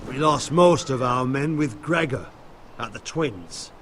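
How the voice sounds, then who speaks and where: A hard-bitten soldier in a fantasy game drama. The voice is mid-pitched, blunt and full of scorn, with faint noise in the background. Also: male voice, animated, measured pace, fairly bright tone. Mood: pressing, grim. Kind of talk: video game drama acting.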